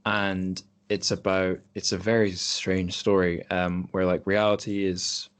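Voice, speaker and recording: Low-voiced man hosting a casual podcast. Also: little pitch movement, unhurried, smooth. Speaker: podcast host. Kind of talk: casual group podcast conversation. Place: remote online call.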